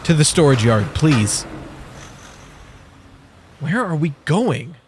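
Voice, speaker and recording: A man's voice: fairly high pitch, big pitch swings, slow pace. A man playing a lonely, sickly and stubborn night-shift worker in a scripted comic dub. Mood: confused, anxious. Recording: clearly noisy background.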